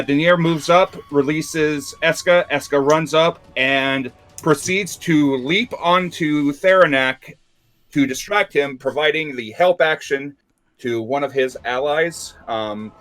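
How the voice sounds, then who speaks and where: A man playing a tabletop game, describing his character's moves in a halting, deliberate way. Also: fairly high pitch. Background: music.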